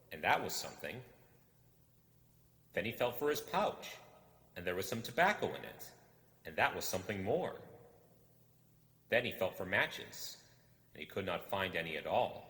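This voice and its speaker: A man narrating a storybook expressively, in a measured, dramatic delivery with deliberate pauses. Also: low voice, fairly bright tone. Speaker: audiobook reader. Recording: roomy echo with long reverb.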